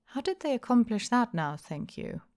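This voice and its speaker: A woman voicing a courteous gentleman stranger in an audiobook, quiet and polished, with gently mocking politeness. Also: fairly high pitch, very animated, moderate pace, voice pushed up. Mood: quietly mocking.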